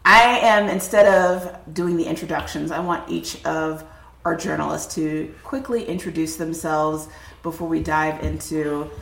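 A woman's voice: low-pitched, roomy sound. A woman hosting a podcast, sounding a bit nasal as she gets over a cold, talking in an upbeat, chatty way. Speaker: podcast host. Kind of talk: podcast intro and roundtable chat. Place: table at a film festival.

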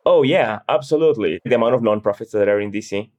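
A man's voice: fairly low pitch, animated, quick pace, darkish tone. A man from Peru talking casually as an interview guest. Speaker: guest, comedian and satire writer.

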